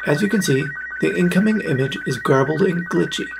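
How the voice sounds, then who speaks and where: A man in his forties with a husky, slightly gravelly voice, narrating an explanatory tutorial. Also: on the high side, brisk, noisy background. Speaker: video maker presenting a tutorial.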